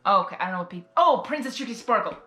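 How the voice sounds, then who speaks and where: A woman's voice: medium pitch, lively intonation, racing, sharp tone. Roomy-sounding woman reacting casually. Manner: rambling, exclamatory, repeats phrases. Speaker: reaction video host. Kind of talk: casual emotional reaction commentary. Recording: roomy sound with faint music. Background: faint music.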